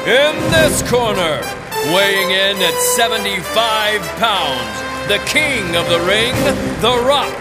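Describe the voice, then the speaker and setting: A man playing an excited, over-the-top wrestling ring announcer, calling a match with bombastic, drawn-out delivery. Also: high-pitched, over loud music, heavily acted. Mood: grand announcing. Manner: bombastic, drawn-out introductions and shouting.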